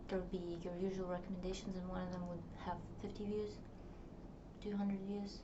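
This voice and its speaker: A young woman whose first language is Russian, talking in a rambling, reflective way with many fillers, recording a personal diary vlog. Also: on the low side. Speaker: vlogger. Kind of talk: casual diary vlog to camera. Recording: distant, dull, noisy home recording.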